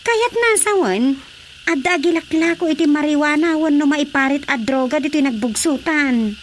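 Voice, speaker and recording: A woman's voice: high voice, normal speed, a little hiss or hum, natural voice. A woman voice-acting a chatty, earnest village wife in a radio drama.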